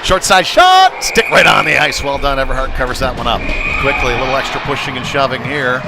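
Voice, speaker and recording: A man's voice: medium pitch, sing-song, brisk, heavy background noise. A man doing live play-by-play hockey commentary as the announcer, dropping conversational asides between calls. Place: ice rink, live at the game. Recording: live rink broadcast with music behind. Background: music over venue speakers.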